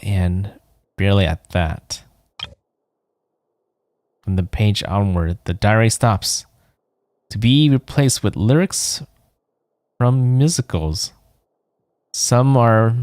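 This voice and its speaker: A man with a fairly low voice streams a game and reads its text aloud. He takes his time, measured and subdued, and the sound is dry and close. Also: a little mellow, dry recording. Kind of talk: let's play, reading game text aloud.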